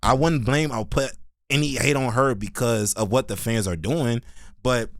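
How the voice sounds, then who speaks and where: A man's voice: mid-range voice, normal speed, dry recording. Casual American man, dry close sound. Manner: slangy, opinionated, rambling. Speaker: reaction video host. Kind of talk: casual reaction commentary.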